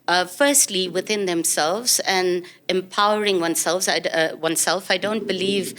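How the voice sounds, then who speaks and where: A woman guest in an interview, speaking in a measured, thoughtful way with small hesitations. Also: fairly low pitch, a bit bright. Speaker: guest, CEO. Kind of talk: interview conversation.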